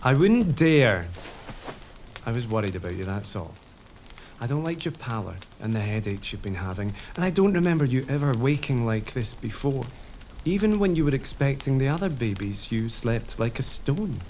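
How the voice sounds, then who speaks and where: Casting: actor as a warm-hearted laird soothing his wife, expressive delivery. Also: medium pitch, moderate pace, a little hiss or hum, lightly acted. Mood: tender. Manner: tender, reassuring. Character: devoted laird, gentle and teasing husband. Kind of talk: period audio drama.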